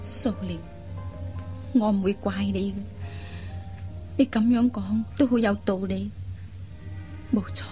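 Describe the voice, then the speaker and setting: A woman playing a kind, persuasive young elder sister in a Cantonese radio drama, coaxing and teasing in drawn-out phrases. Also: mid-range voice, fairly even intonation, dark tone, faint music behind, close to an everyday voice. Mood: gentle, persuasive.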